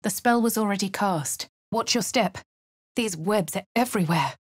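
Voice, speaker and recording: An actress voicing a posh female adventurer with an English accent, speaking in a breathy, often half-whispered way. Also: mid-range voice, normal speed, no echo, natural voice. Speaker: voice actor for a player character. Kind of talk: video game voice lines. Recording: dry studio booth.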